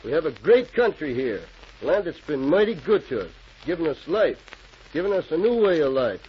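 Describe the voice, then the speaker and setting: A man's voice: a little high, very animated, moderate pace. A man playing an earnest, determined frontier settler with a folksy, emphatic manner in an old radio drama. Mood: earnest, insistent. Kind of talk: 1940s radio acting. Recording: muffled, noisy old radio recording.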